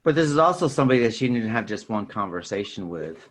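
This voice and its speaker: A man's voice: lowish voice, big pitch swings, fast, a little hiss or hum. A man talking casually and ramblingly as a podcast host in a film discussion, often tacking on filler phrases. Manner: rambling, repeats "you know" and "sort of thing".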